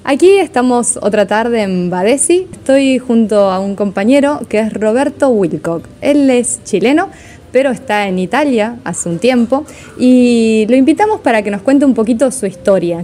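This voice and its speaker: A woman's voice: on the high side, lively intonation, normal speed, warm tone, slight background noise. A woman hosting an interview, greeting the audience and asking her guest several questions in a row in a friendly, casual way. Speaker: interview host.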